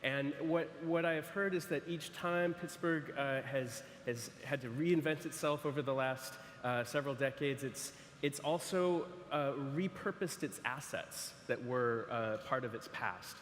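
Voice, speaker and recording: A man in the middle of his pitch range speaks to an audience in a large echoey hall with a long reverb tail. He talks haltingly, with repeated words and "uh" fillers. Faint noise sits under the voice. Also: a bit bright. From San Francisco. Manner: hesitant, frequent restarts and fillers. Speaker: architect presenting to an audience. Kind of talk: conference presentation.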